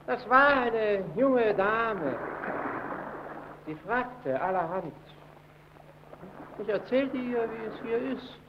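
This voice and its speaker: A sly, resentful lighthouse keeper in a vintage radio play. His voice sits high and swings widely as he insinuates and dodges. The recording is dull and hissy. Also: animated, slow pace, pauses often, exaggerated voice. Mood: sly, needling. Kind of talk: old German radio play acting.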